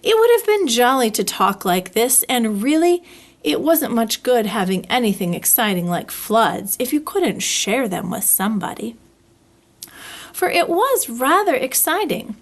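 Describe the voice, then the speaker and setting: Female children's story reader: highish voice, very animated intonation, deliberate phrasing.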